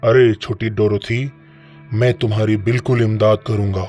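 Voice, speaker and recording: Man playing a deep, commanding cartoon wizard. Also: level tone, normal speed, darkish tone, faint music behind, lightly acted. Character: mighty wizard, grand and commanding. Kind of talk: children's fairy-tale cartoon voice acting.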